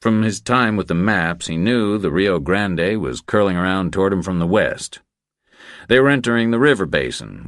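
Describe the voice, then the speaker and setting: Super deep guy reading a thriller audiobook, measured storytelling, really dry sound. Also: dry recording. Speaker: audiobook narrator. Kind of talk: scripted narration of a crime thriller.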